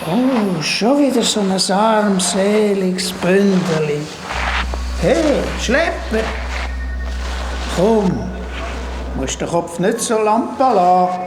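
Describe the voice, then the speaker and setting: A woman's voice: mid-range voice, takes it slowly, long pauses, close to an everyday voice. A woman voicing an old, worn-out mender creature, offhand and resigned, in a Swiss German children's radio play. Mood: breezy, dismissive. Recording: roomy sound with music underneath.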